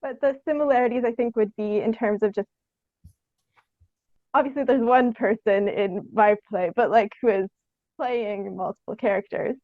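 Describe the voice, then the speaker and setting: High-voiced young woman, dull dry recording. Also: moderate pace, dry recording. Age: young adult. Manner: tentative, meandering, heavy use of "like". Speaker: podcast guest, theatre artist. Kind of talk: casual interview chat.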